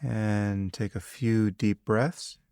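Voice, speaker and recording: Low-pitched man guiding meditation, slightly gravelly. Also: dry recording, studio quality. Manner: calm, measured, with long pauses between short phrases. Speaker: meditation teacher. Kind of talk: guided meditation, spoken instruction.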